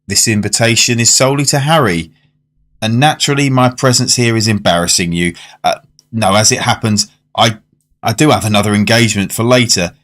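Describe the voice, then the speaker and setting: A man playing a polite, reflective diner in a radio drama, measured and understated, well-spoken. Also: fairly low pitch, fairly bright tone, lightly acted. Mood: polite, deferential. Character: polite, reflective male diner narrating a macabre memory. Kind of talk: radio drama narration and dialogue. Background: music under the voice.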